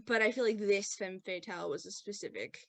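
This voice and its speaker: A woman's voice: medium pitch, a little fast, gravelly. A woman voice actor talking casually in a group podcast commentary.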